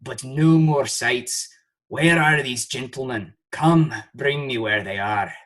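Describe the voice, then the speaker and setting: A man playing a grim, murderous king in a Shakespearean audio play, declaiming deliberately. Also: mid-range voice, fairly bright tone. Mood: menacing. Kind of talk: stage-style Shakespearean acting. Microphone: video call recording.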